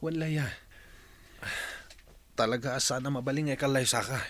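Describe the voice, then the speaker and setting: Man playing an evasive, mid-pitched young boyfriend. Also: moderate pace, fairly bright tone, natural voice. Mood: evasive, apologetic. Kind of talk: Ilocano radio drama acting.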